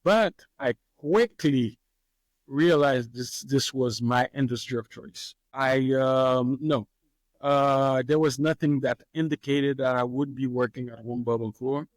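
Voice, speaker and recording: A man, a master distiller answering interview questions in a relaxed, hesitant way with many fillers and easy laughs. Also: mid-range voice, unhurried, dry recording. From Haiti.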